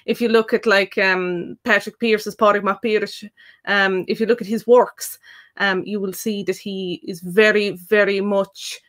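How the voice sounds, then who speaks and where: An Irish woman co-hosting a live question-and-answer stream about learning the language. She talks in long, meandering explanations full of fillers, at a middle pitch. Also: fairly even intonation, measured pace, fairly bright tone. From Ireland. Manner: thoughtful, rambling with many fillers. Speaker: Irish language tutor and co-host.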